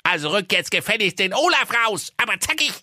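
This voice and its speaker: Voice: medium pitch, very animated, brisk, bright, forceful tone, no echo, clean studio sound, full character voice. A performer playing a small, ugly, wicked gnome doctor, bossy and gloating, in a comic fairy tale audio play. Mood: bossy, scolding. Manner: pushy, scolding.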